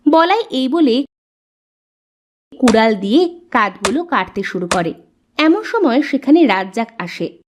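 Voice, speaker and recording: A woman's voice: on the high side, sing-song, unhurried, long pauses, noisy background. A woman reading scripted narration for a children's story.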